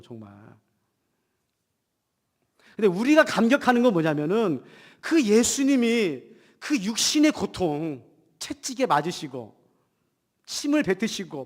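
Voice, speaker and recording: High, animated male preacher in echoing hall. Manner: emphatic, swinging between loud declarations and hushed asides; rhetorical questions to the congregation. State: has a cold. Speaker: pastor preaching a Sunday sermon. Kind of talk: Protestant church sermon. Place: church hall during a service. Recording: long hall reverb in church.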